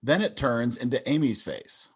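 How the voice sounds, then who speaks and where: Animated man narrating sitcom stage directions. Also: medium pitch, normal speed, husky. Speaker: narrator of a table read. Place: indoors, on a video call.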